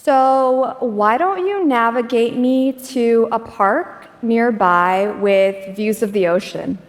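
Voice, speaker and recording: A woman's voice: a little high, takes it slowly. A woman giving a live tech demo on stage, casual and chatty with filler words. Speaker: demo presenter. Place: stage in a large auditorium. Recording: echoey hall.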